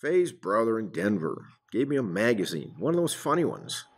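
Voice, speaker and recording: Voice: mid-range voice, very animated, moderate pace, slightly rough. An actor playing a folksy, earnest married police detective chatting with a partner in a radio drama. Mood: folksy, chatty. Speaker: supporting actor. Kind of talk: old-time radio police drama dialogue.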